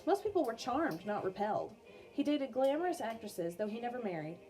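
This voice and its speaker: A woman reading an educational biography aloud for children as a music teacher, in a clear, measured storytelling manner. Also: a little high, very animated, dark tone. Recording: music playing behind the voice. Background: background music.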